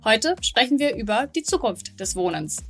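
Higher-pitched woman hosting a podcast. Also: very animated, moderate pace, faint music behind. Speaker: podcast host.

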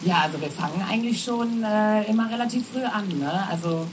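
A woman's voice: medium pitch, brisk, a little hiss or hum. A woman from a festival booking team, talking chattily on a live panel with many fillers and tag questions.

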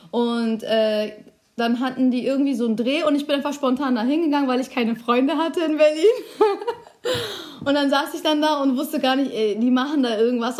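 A woman in comedy telling how she got into acting, in a loose, chatty way with slang. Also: fairly high pitch. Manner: lively, casual storytelling with slang. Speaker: comedy actress. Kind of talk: casual interview answer.

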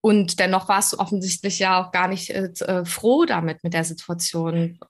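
A woman's voice: on the low side, moderate pace. A woman from Prague, a native Czech speaker, hosting an interview with affirming, engaged questions. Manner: warm, affirming, frequent hums of agreement. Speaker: interview host. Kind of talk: conversational interview.

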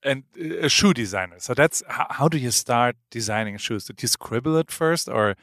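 A man from Germany hosting an interview podcast, with a mid-range voice. He talks in a chatty, lively way, stumbling and restarting his questions. The sound is dry and close. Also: medium pitch, moderate pace, no echo. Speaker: podcast host. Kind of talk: casual interview.

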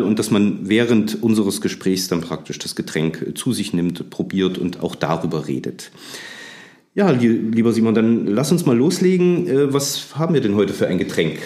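A man in his forties hosting a museum history podcast, chatty with frequent fillers and restarts. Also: reverberant. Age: 40s. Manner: chatty, many fillers and restarts, lively rises in pitch. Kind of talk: casual podcast chat.

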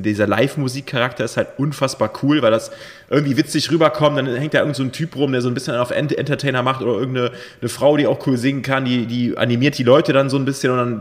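A man in his thirties co-hosting a podcast, telling a rambling story full of fillers, his voice a bit hoarse after a night of drinking. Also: medium pitch, big-room sound. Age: 30s. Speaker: podcast co-host. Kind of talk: casual chat.

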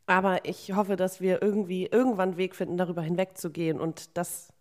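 A woman's voice: fairly low pitch, little pitch movement, fast talker, a little mellow. Frank, advising woman co-host. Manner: frank, advising, blunt phrasing. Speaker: podcast co-host. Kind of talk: casual supportive conversation.

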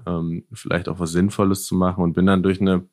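A man's voice: sits low, little pitch movement, brisk, darkish tone, no echo, studio quality. A young man from northern Germany, a founder answering interview questions casually and reflectively, often searching for words. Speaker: podcast guest, founder.

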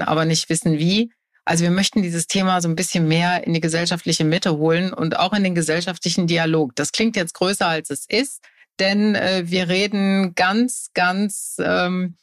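A woman co-hosting a talk podcast, thinking aloud hesitantly with many fillers. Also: on the low side. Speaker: podcast co-host. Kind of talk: casual conversation.

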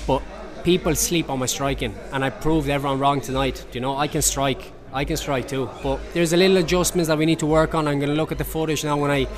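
A young male fighter talks fast and eagerly after a bout, tripping over repeated words. A busy crowd chatters clearly behind him at the venue. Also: fairly high pitch, steady tone. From Romania, raised in Ireland. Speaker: MMA fighter. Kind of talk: post-fight interview.

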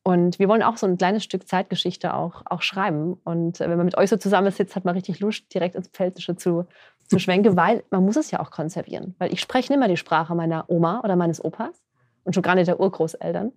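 A woman's voice: lowish voice, a little fast, darkish tone, dry recording. Woman, podcast host, chatty, laughs easily, dry close sound. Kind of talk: conversational interview.

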